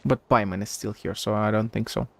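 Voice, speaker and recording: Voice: medium pitch, steady tone, brisk, vocal fry. A game streamer giving informal, slangy playthrough commentary, thinking aloud. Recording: game music faintly behind.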